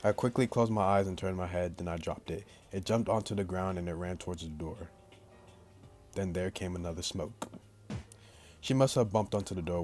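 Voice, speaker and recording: A man's voice: sits low, darkish tone. A man doing a let's play of a visual novel, reading the text aloud and tossing in slangy, jokey asides over game music. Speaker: gaming YouTuber. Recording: music behind the voice.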